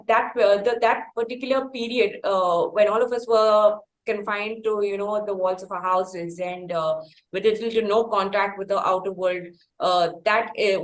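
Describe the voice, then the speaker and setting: Indian woman hosting an interview, effusive and halting. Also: mid-range voice, rough recording. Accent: Indian English. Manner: effusive, wordy, halting delivery with pauses. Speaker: podcast host interviewing musicians. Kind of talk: conversational interview.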